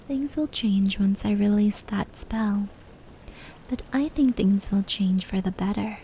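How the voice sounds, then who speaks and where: ASMR roleplay: woman as playful, caring fox spirit storyteller. Mellow, breathy, slow and gentle, light rain noise behind. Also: mid-range voice, natural voice, rough recording. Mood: calm, reflective. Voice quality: soft, breathy, often near whisper. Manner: gentle, unhurried, teasing. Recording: steady rain and brushing noise layered in. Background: indoor rain and brushing sounds.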